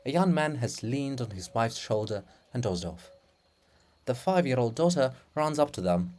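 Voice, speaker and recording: A person giving a dramatic reading of a play in a theatrical, deliberate manner, phrase by phrase. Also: medium pitch, animated, darkish tone. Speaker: reader of a play.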